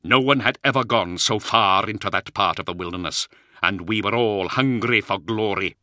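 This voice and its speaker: A man voicing a weary, brooding commander of knights in an audiobook, with a rough, worn voice and a slow, grave delivery. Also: on the low side, sharp tone, dry recording, clean studio sound, voice pushed up. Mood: brooding, haunted. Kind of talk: audiobook character acting.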